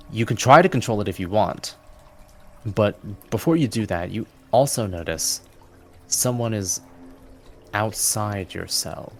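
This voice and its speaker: A man narrating a tabletop fantasy game as game master, in a deliberate, descriptive storytelling manner. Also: on the low side, gravelly.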